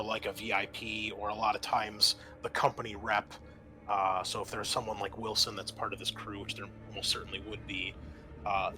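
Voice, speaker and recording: A man running a tabletop game, describing scenes in a halting, conversational way. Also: on the low side, rough recording. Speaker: game master. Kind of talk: improvised tabletop game narration.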